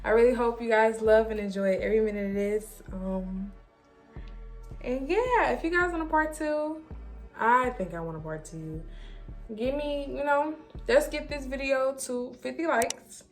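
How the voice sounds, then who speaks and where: High-voiced woman, chatty and emphatic. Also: unhurried, soft background music. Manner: animated, repetitive, slangy, emphatic. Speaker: vlogger. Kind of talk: casual storytime chat to camera.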